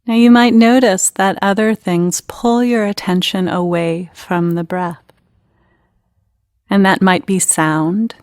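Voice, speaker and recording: A woman leading a guided meditation in an unhurried, gentle, instructional manner with pauses between phrases. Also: medium pitch, fairly even intonation, smooth, no room sound, clean studio sound.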